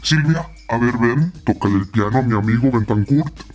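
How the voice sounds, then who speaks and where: Woman putting on a deep, bossy dad. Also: quick pace, a little mellow, soft background music. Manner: commanding, instructive. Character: stern bossy Mexican father giving orders.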